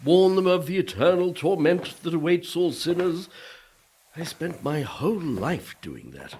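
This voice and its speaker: An actor playing the gloomy, repentant ghost of a Puritan pastor in a radio play, wistful and self-pitying in old-fashioned formal speech. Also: male voice, a little high, very animated, a little slow, darkish tone, husky, exaggerated voice. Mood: despairing, defensive.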